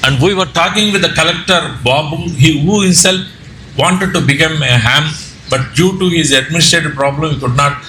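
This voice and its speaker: A man with an Indian Telugu accent giving an informal slide presentation, speaking haltingly with repeated words and self-corrections. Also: on the high side, bright, forceful tone. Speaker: presenter, amateur radio operator and trainer. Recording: echoey room with background noise.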